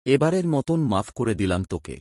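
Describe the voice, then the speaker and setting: A man's voice: medium pitch, big pitch swings, fairly quick, darkish tone, no echo, studio quality. A man voicing a stern but forgiving Sun who admonishes others in a children's cartoon.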